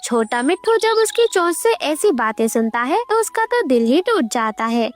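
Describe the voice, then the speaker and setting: A woman's voice: high-pitched, sing-song, moderate pace, faint music behind. A woman narrating a scripted children's story as a storyteller.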